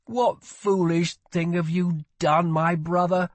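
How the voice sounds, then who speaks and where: A man's voice: high voice, steady tone, slow, dry recording, voice pushed up. A man voicing a wounded young prince in an audiobook, petulant and dramatic, playful yet weighed down by guilt. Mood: wry, dark. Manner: scornful, dramatic, demanding.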